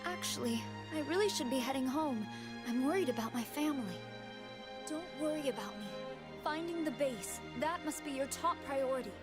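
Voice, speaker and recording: A woman's voice: high voice, expressive, moderate pace. A woman playing a polite, earnest scientist with a remorseful air, speaking carefully and formally in anime game style. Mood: earnest pleading. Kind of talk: dubbed anime video game acting. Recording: music behind the voice.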